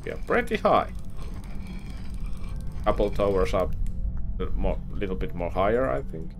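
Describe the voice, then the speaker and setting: A man's voice: fairly low pitch, measured pace, vocal fry. A young man commenting casually as he plays a game, wondering aloud and reading notes from the game. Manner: thinks aloud, reads in-game notes aloud with lively inflection. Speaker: gaming streamer. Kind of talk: casual let's play commentary. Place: home room with facecam. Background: game music.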